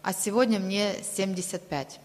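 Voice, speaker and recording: A woman interpreting a sermon aloud, delivering it phrase by phrase in a halting way. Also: mid-range voice, steady tone. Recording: long reverberant hall sound.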